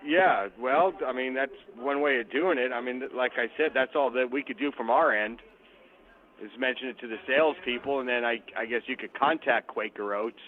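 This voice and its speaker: Tinny phone audio, store guy taking a customer call, agreeable but hedging, normal pitch. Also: fairly quick. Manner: accommodating, hedging, non-committal. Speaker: grocery store employee. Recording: tinny narrow phone line.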